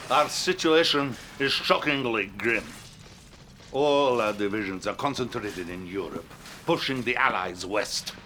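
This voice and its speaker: An actor playing a scheming, pompous Soviet leader in a video game cutscene, with a theatrical, deliberate delivery and a Russian accent. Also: male voice, medium pitch, fairly bright tone, a little hiss or hum. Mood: grave. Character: grandiose, scheming Soviet leader addressing his commander.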